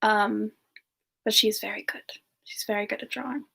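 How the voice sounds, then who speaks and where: A woman playing a sweet, chatty young female demon, talking gently and hesitantly in a casual semi-ASMR live chat. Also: mid-range voice, steady tone, vocal fry, slightly rough, full character voice. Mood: gentle, friendly. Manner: gentle, hesitant, pausing often mid-sentence. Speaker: host of a live Q&A stream.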